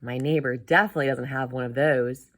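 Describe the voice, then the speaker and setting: A woman voicing an excitable, grumpy male duck uncle in a children's storybook read-aloud. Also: a bass voice, a little fast. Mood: doubtful.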